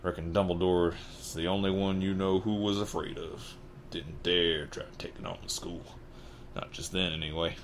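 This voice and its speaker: Kindly, anxious storybook giant, played by a man: low, folksy Southern drawl, halting delivery, some background noise. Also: steady tone, close to an everyday voice. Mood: solemn. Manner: halting, folksy, dropped consonants.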